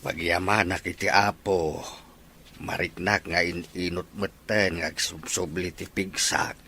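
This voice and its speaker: Man doing a sick old father, grateful and loving, slow creaky low voice, audio drama. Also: steady tone, sharp tone, slight background noise, exaggerated voice. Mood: grateful, weak. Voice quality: gravelly. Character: ailing elderly father, affectionate, grateful. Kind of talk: Ilocano audio drama acting.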